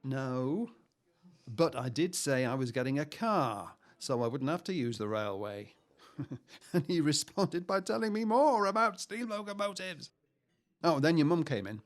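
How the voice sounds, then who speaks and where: An actor playing a talkative young 1950s husband in a naturalistic audio drama, dry and exasperated in manner. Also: male voice, on the high side, animated, close to an everyday voice. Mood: wryly exasperated. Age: young adult. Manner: dry, exasperated, deliberate repetition for comic effect.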